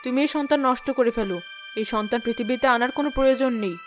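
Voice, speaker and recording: Woman acting high, fast-talking cruel mother-in-law. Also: lightly acted. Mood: scolding, bossy. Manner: scolding, bossy. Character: domineering, cruel scheming mother-in-law in a Bengali cartoon. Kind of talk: cartoon voice acting. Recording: music behind the voice. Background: background music.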